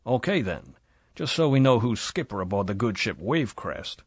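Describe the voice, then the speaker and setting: A man's voice: on the low side, big pitch swings, moderate pace, husky, no room sound. A man voicing a bullying rich American yacht owner who talks in a mocking drawl, with an American accent.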